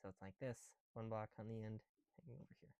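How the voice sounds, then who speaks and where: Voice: medium pitch, fast, gravelly, mellow, smooth, no echo, highs cut off. A person talking casually to themselves in a rambling ASMR style while working with their hands, informal and prone to swearing. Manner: informal, muttering to self, sweary. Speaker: ASMR video creator.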